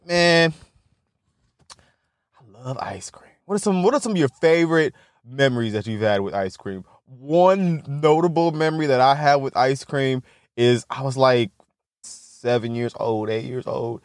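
A man's voice: medium pitch, lively intonation, unhurried, dry recording, studio quality. A young man hosting a podcast, rambling casually with lots of laughter and swearing, and a stutter. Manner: laughing, profane, self-mocking. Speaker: podcast host.

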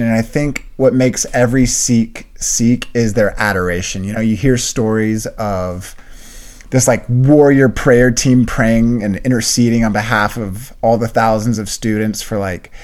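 Young man, casual podcast chat, animated storyteller, mid-pitched voice. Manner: enthusiastic storyteller, quick bursts. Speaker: podcast co-host.

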